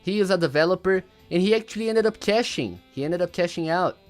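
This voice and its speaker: Young male streamer, Portuguese first language, slightly high voice, rambling casual talk, faint music. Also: animated, fast. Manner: rambling, repeats words, reads chat aloud. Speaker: livestreamer. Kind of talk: casual livestream commentary.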